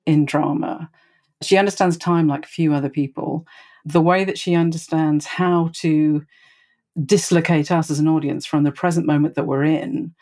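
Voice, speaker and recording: A woman's voice: sits low, fairly even intonation, normal speed, darkish tone. A woman giving thoughtful interview commentary as a theatre professional. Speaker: theatre play-development director interviewed.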